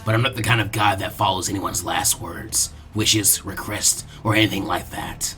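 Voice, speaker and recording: A man voicing a menacing, mocking male ninja swordsman who talks slowly and condescendingly in a fan fiction audiobook. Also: deep voice, fairly even intonation, bright, forceful tone, faint music behind, voice pushed down. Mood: taunting.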